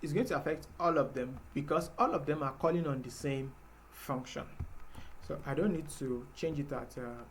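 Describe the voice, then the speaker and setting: A man's voice: on the high side, normal speed, slight background noise. A man teaching a live programming class, explaining patiently step by step with fillers. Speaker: programming instructor.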